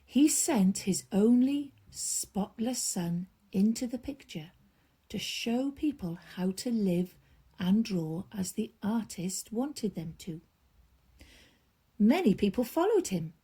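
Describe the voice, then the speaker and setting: Slow, soft-toned woman reading a bedtime story. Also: mid-range voice, slightly rough. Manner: expressive, with drawn-out emphasis and hushed drops. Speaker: children's storyteller. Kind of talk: bedtime story read aloud to children.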